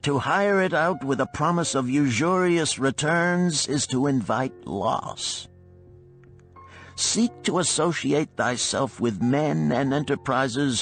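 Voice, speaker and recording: A man voicing a wise, cautious older gold lender of ancient Babylon in a storybook, solemn and deliberate in archaic speech. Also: medium pitch, a bit bright. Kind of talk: storybook character reading.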